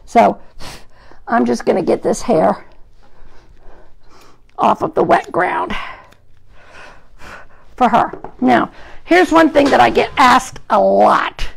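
A hoarse woman groomer with a gravelly voice, chatting to live-stream viewers while trimming a dog in a grooming salon, recorded on a phone. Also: on the high side, expressive, slow pace, long pauses. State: hoarse from an illness. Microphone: phone camera propped at the grooming table.

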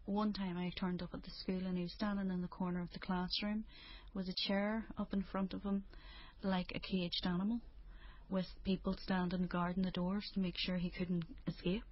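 Northern Irish mother in candid conversation, low husky voice, slightly dulled recording. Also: level tone, normal speed, muffled recording. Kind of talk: intimate personal conversation.

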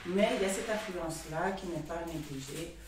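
A speaker in a public discussion, halting with many fillers, recorded by a far camera in a room. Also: medium pitch, very echoey, noisy background. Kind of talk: debate contribution.

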